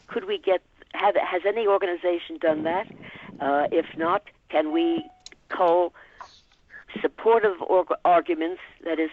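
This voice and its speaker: A woman asking an unscripted question as a member on a conference call, speaking over a telephone. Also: low voice. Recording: tinny phone line with noise.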